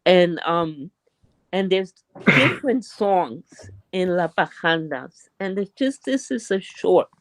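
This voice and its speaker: Woman from Puerto Rico, slow and gentle, dry close sound. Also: on the low side, animated, no echo. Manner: slow, gentle recounting of a childhood custom. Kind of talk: casual storytelling on a video call. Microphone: video call.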